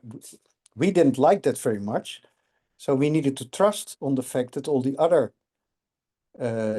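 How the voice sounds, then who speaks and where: A man's voice: a little high, warm tone. A middle-aged man from the Netherlands, a guest explaining things on a video call and often checking in with "correct?". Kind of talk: casual call conversation.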